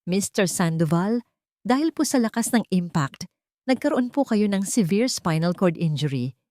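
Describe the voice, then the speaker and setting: A woman's voice: medium pitch, lively intonation, moderate pace, warm tone, dry recording, studio quality, close to an everyday voice. Woman acting grave, polite hospital doctor. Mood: regretful. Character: sympathetic, formal male doctor breaking bad news.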